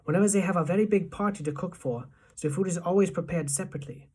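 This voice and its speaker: Woman doing a measured, wily old grandmother. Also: fairly low pitch, warm tone, breathy edge. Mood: scheming, fond.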